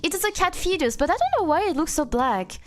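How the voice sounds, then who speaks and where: A woman's voice: very high-pitched, big pitch swings, quick pace, a bit bright. A young woman in her twenties from France with a French accent, chatting playfully on a live stream and answering chat. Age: 20s. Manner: playful, reads chat aloud, frequent laughter.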